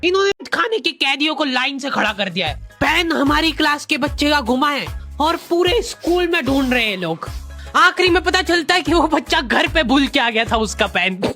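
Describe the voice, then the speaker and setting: A high-pitched woman narrates a scripted cartoon comedy in casual slang. Her delivery is bright, exaggerated and full of mock exasperation. Light music runs underneath. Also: very animated, normal speed. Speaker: storyteller. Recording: music behind the voice. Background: faint music.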